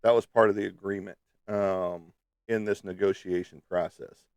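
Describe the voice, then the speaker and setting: A man's voice: low voice, fairly even intonation, normal speed, vocal fry, a little mellow, smooth, no echo. A man with a slightly stuffy nose, chatting casually and playfully to viewers as a livestream host. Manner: playful, teasing, peppered with sung sound effects.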